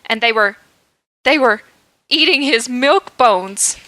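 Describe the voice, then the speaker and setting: A woman's voice: fairly high pitch, takes it slowly, pushed and bright, no echo. A woman hosting a podcast, reading a comic story aloud in a playful way and laughing at the text, into a podcast microphone. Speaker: podcast host.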